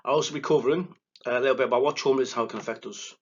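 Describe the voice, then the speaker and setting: A man with a thick Liverpool accent giving a recorded lecture as a psychotherapist, excitable and quick, often stumbling and restarting his words. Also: mid-range voice, husky, highs cut off. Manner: fast, excitable delivery with verbal stumbles and restarts. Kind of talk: recorded lecture to counselling students. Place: presenting alone without a live audience.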